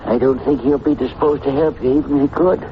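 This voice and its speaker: A man's voice: mid-range voice, fairly even intonation, fast, lightly acted. A man playing an anxious, flustered small-town supervisor in an old-time radio drama, hesitant and stammering. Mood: shocked, uneasy. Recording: muffled vintage radio recording, faint noise.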